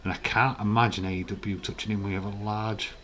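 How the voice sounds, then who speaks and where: A man with a croaky, hoarse voice, hosting a casual live stream, chatting in a rambling way and reading out viewer comments. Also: lowish voice, flat delivery, a little fast, a bit bright.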